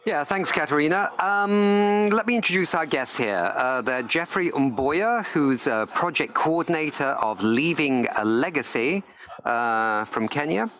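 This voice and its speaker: A man's voice: on the high side, animated, unhurried, a bit bright. A man hosting a live panel in an informal, hesitant, unscripted way. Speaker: co-host, panel moderator.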